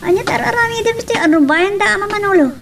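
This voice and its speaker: Woman acting high-voiced sociable young Ilocano friend, off-mic. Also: quick pace, recorded from a distance, slight background noise. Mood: friendly, inviting. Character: sociable young female friend doing the inviting. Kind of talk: amateur Ilocano audio drama.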